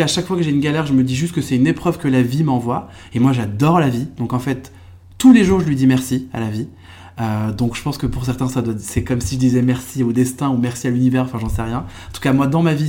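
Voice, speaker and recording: A young man from France talks through his story as a podcast guest. He sounds relaxed and reflective, with a mid-pitched voice, and often stops on fillers before he finishes a thought. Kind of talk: casual conversational storytelling.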